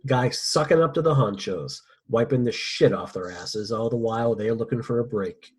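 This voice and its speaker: A man at a script table read playing a cynical, smug, ruthless mercenary villain with a husky, sardonic delivery. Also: medium pitch, normal speed, close to an everyday voice. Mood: bitter defiance. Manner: sardonic, profane.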